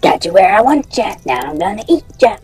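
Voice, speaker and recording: A podcast host voicing a gross monkey that taunts from a closet in a quick sing-song chant, reading a campfire story. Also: mid-range voice, very animated, a little mellow, slightly rough, soft background music, pitched above the performer's own voice. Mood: taunting.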